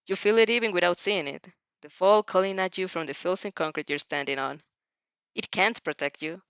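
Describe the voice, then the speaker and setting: A woman's voice: lowish voice, fairly quick, gravelly, fairly bright tone, clear, resonant tone, no room sound. A woman reads fan fiction expressively and drifts into chatty asides. Her voice is recorded close and dry, with a slightly muffled lo-fi sound. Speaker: fan fiction reader and reactor. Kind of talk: expressive story reading with casual asides.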